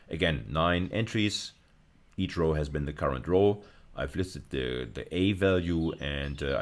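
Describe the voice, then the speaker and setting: Very deep-voiced male lecturer. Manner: deliberate, emphatic, repeats and rephrases key phrases. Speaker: university lecturer. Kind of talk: explanatory screencast lecture.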